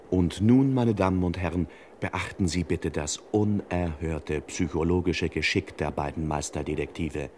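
Deep, mock-solemn radio play narrator. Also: male voice, a little mellow, a little hiss or hum. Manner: pompous, ornate, mock-admiring. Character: mock-solemn male narrator of a detective spoof.

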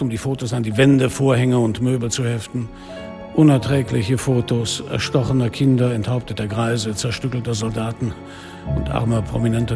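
An actor playing a bitter, world-weary police commissioner in a radio drama, with terse, sombre, sardonic delivery. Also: male voice, mid-range voice, creaky, natural voice. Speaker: lead actor and narrator. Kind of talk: hard-boiled first-person radio drama narration. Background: music.

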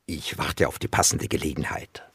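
An actor playing a sardonic, self-mocking musician with a husky, gravelly voice and a theatrical delivery in a radio drama. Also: male voice, extremely low pitch, normal speed, fairly bright tone, dry recording, clean studio sound, exaggerated voice. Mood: wry, teasing.

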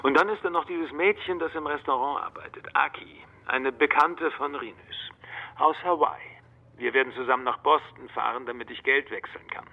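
A man playing a matter-of-fact ex-detective in a radio play, with a rough, husky voice. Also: medium pitch, moderate pace, narrow, tinny audio. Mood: explaining, casual. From Netherlands. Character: male Dutch ex-detective reporting by phone, matter-of-fact.

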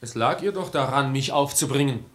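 A man's voice: medium pitch, a little fast, a bit bright, close to an everyday voice. Man acting a young suitor, haughty, sarcastic, easily offended, indignant, classic verse radio play. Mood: sulking, aggrieved. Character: proud, touchy young gentleman suitor, wounded and sarcastic.

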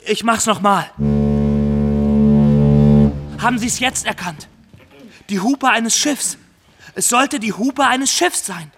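A man's voice: sits high, bright, forceful tone, heavily acted. An actor playing an earnest young Somali graduate turned pirate, telling his story formally and directly to the listener in a radio play. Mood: eager, insistent.

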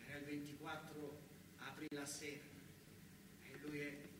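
A man's voice: on the high side, completely flat delivery, moderate pace. A man with a southern Italian accent telling a first-person story as a theatrical monologue, colloquial and repeating phrases for emphasis. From southern Italy. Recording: very noisy, echoing distant stage recording.

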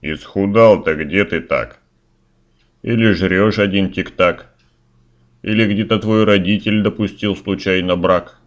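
A man's voice: low-pitched, measured pace. A man playing a simple, stubborn, cheeky young village lad who banters in folksy rhyme for a fairy-tale audio play, recorded a little muffled. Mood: cheeky challenge.

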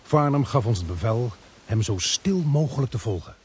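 An actor playing an earnest male narrator-protagonist, telling a suspense story in a measured radio drama style. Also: medium pitch, lively intonation, slight background noise, lightly acted. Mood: suspenseful narrating. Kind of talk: dramatic first-person radio play narration.

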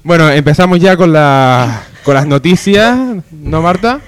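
A man's voice: on the high side, expressive, unhurried, smooth. A man with an accent from Spain hosting a comedy radio show with joking banter. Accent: Spanish from Spain.

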